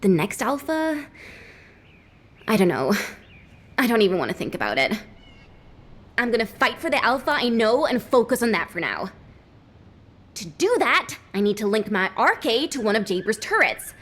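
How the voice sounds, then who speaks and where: A woman's voice: on the high side, sing-song, normal speed, pauses often, fairly bright tone. An actress playing a restless, emotional young woman in a video game cutscene, exasperated and rambling.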